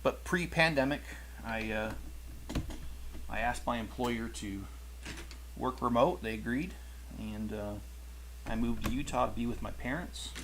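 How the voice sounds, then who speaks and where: A man's voice: mid-range voice, a little hiss or hum, low-quality recording. A middle-aged man hosting a toy building stream, chatting casually with viewers, rambling and correcting himself as he goes. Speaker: toy channel host. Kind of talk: casual live-stream chat while building. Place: home, rural.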